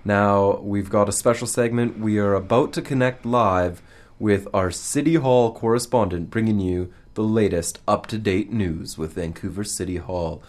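A man's voice: on the low side, moderate pace. A man hosting a live radio show in a casual, slightly stumbling way. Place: radio studio.

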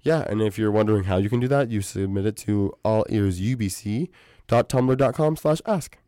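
A hoarse young woman with a scratchy voice, hosting a campus radio show in relaxed, chatty talk full of "you know". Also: a bass voice, moderate pace, no echo, studio quality. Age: young adult. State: hoarse from strained vocal cords. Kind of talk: casual radio chat. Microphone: studio mic.

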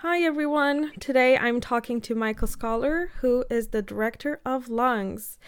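A woman's voice: a little high, moderate pace, soft background music. A woman conducting a casual radio interview.

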